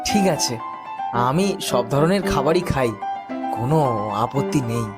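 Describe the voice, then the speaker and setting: A man's voice: medium pitch, animated, measured pace, lightly acted. A man voicing a cunning, boastful barber's son who poses as a priest in a cartoon moral story, theatrical and self-important. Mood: smooth, pretending. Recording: music bed behind the voice.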